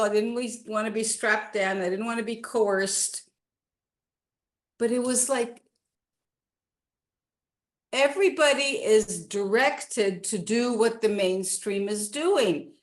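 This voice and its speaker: A woman in her sixties from Canada, a guest telling her life story in a rambling, casual chat with many restarts. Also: mid-range voice.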